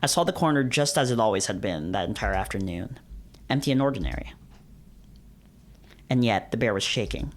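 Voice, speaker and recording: A man playing the grave, hushed narrator of a creeping horror story in a visual novel. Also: lowish voice, very animated, a little fast, long pauses. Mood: ominous.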